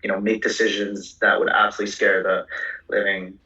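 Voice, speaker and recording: Young man, earnest, quick delivery, bright edge, distant lo-fi sound. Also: lowish voice, flat delivery. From South Korea. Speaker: panelist. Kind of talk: panel discussion. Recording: echoey, distant, lo-fi.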